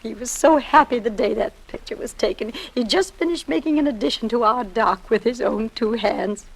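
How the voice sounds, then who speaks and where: An actress playing a prim, sanctimonious elderly wife in an old-time radio drama, speaking in a formal, solemn, pious way. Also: female voice, a little high, normal speed, creaky, slightly rough, poor audio. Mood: mournful, prim. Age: older.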